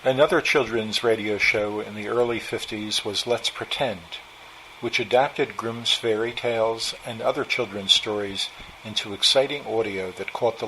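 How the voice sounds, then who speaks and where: A man's voice: mid-range voice, poor audio. A man in his seventies with an American accent, reading a scripted personal narration. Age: 70s. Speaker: narrator, old-time radio enthusiast. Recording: steady hum of room noise.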